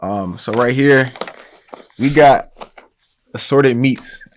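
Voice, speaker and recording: A man's voice: mid-range voice, slow pace, a little hiss or hum. A man in his twenties, a podcast guest, chatting in an easygoing, slangy way. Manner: easygoing, drawls slangy phrases. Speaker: guest, food reviewer. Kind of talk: casual chat. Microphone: podcast mic.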